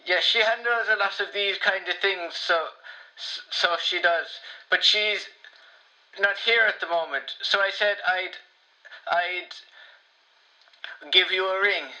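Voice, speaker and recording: An actor playing a shy, wistful elderly Irish man in a radio play, halting and repeating himself as he rambles. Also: sits high, sharp tone, heavily acted. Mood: hesitant, friendly. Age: older. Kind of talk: radio play voicemail monologue. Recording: dull, lo-fi voicemail sound.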